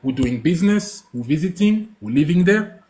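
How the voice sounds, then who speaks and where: A man's voice: on the high side, animated, warm tone. Man searching for words. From France. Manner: halting, many fillers and restarts, searching for words. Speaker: caller on a panel. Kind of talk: casual chat.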